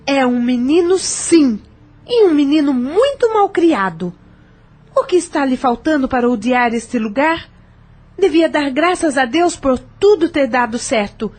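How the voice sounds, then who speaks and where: A woman playing a strict but concerned mother in an audio novel. Her high voice has a maternal, scolding edge. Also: lively intonation, unhurried, a little mellow.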